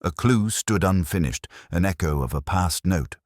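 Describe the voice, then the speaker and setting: A man reads a scripted first-person detective story in a measured, solemn, reflective manner, as a literary narrator. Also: low-pitched, lively intonation, dry recording, clean studio sound. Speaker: audiobook-style narrator.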